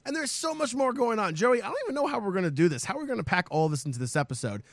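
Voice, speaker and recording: A man hosting a gaming podcast in an upbeat, hype-building way, his voice hoarse and strained. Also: high-pitched, rapid-fire, no room sound. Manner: energetic, hypes up the audience. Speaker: podcast host.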